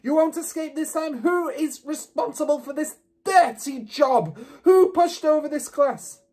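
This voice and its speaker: A man voicing a furious, huge, bullying headmistress from a storybook, bellowing accusations in drawn-out shouts. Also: very high-pitched, pitched above the performer's own voice. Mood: roaring fury. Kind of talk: storybook character reading.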